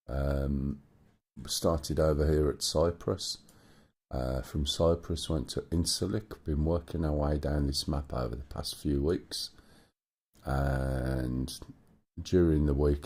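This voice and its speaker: A man with a hoarse, rumbly voice, chatting casually as a livestream host while he thinks aloud over a map. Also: a little mellow. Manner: muses aloud to himself while checking a map. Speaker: streamer. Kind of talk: casual gaming livestream chat.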